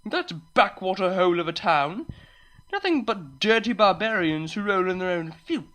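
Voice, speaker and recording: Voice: medium pitch, expressive, normal speed, fairly bright tone, voice pushed up, close to an everyday voice. A reader voicing a haughty, scornful upper-class unicorn mare in a story. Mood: mocking disdain.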